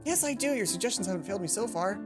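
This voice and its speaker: A woman's voice: medium pitch, expressive, very fast, close to an everyday voice. A woman voicing a downcast, polite young student heroine in a visual novel, with a hesitant manner. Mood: polite, reluctant. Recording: music under the voice.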